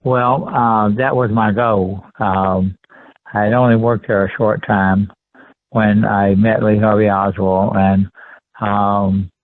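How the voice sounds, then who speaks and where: A man in his seventies with a Texan accent, recalling his life as an interview guest in a halting, deliberate, polite way. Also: fairly low pitch, level tone, no echo. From rural Texas, USA. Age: 70s. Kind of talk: conversational personal recollection. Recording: tinny phone-line sound.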